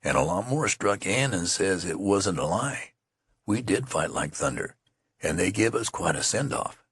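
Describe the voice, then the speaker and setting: A sore, grumbling young soldier with a country drawl , put on by a male reader, his voice pushed higher than a gravelly, husky base and leaping around a lot. It sounds dry and close, like a storybook read in a dead room. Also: dry recording, full character voice. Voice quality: rustic folksy dialect.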